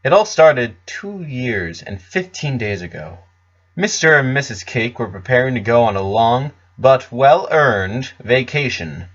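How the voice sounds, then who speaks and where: A man's voice: mid-range voice, very animated, measured pace, fairly bright tone. A man narrating a scripted story in a storybook reading style. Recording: slightly dull, lo-fi recording.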